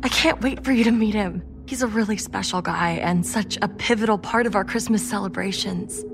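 A woman's voice: mid-range voice, fairly quick, over music, lightly acted. A woman playing an earnest, enthusiastic young girlfriend in an audio story, sincere and matter-of-fact. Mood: gushing.

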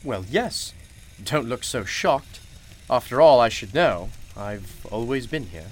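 A man's voice: medium pitch, expressive, takes it slowly, low-quality recording. A man playing a genial, mysterious old-fashioned shopkeeper in a radio play, with formal, courtly and unflappable phrasing. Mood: proud, courtly.

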